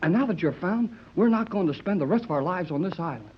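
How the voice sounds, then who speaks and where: Eager American teenage boy, very lively intonation, slangy, old radio drama, muffled worn recording. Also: sits high, quick pace, husky. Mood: earnest, urgent. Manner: slangy, earnest, excitable. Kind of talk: 1930s radio serial acting.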